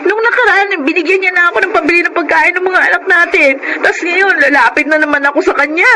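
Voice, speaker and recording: A woman's voice: extremely high pitch, quick pace. A woman playing a put-upon but defiant Filipino wife and mother in a radio drama, emotional and plaintive. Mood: pleading. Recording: noisy radio drama recording.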